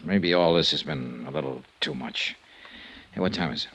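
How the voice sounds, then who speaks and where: An actor playing a world-weary, terse insurance investigator in a 1950s American radio drama, clipped and matter-of-fact. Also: male voice, rumbling low, normal speed, a bit bright, husky, heavily acted. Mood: weary, subdued. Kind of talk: 1950s American radio drama, first-person narration and dialogue.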